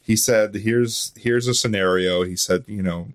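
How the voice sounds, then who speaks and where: Man, low voice, dry close sound, casual podcast guest, rambling, self-deprecating, chuckly. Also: steady tone, unhurried, dry recording. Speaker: podcast guest, audio drama writer and voice actor. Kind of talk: casual interview chat.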